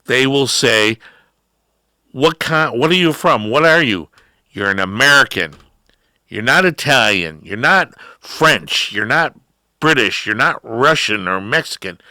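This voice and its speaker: A middle-aged man hosting a talk show, delivering a rambling opinion monologue with drawn-out words and emphatic rises. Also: mid-range voice, animated, bright, forceful tone, dry recording. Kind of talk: ranting political talk.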